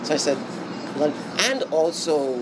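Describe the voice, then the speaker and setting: A Bahamian man giving an interview, speaking reflectively with many pauses and restarts. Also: fairly high pitch, over music, rough recording. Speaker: interview guest. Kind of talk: casual interview talk. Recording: steady background noise.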